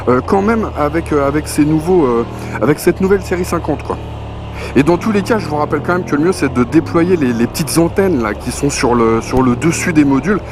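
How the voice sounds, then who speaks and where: Male gear reviewer, slightly high voice, conversational and slangy delivery, clear music bed underneath. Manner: colloquial, conversational, punctuated with slang and spoken sound effects. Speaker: motorcycle gear reviewer, presenter. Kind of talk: casual spoken product review. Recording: music playing behind the voice. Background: clear background music.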